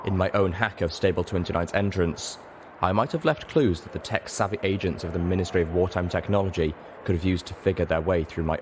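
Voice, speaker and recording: A man reading a story aloud in dramatic, scripted first-person narration. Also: low-pitched. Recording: faint background noise.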